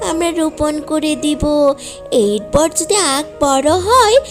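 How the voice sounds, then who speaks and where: A woman acting as a little cartoon fox girl speaks very high, sweet and eager. She pleads like a small daughter, with clear music playing underneath. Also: very animated, takes it slowly, heavily acted. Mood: reassuring. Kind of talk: cartoon story dialogue.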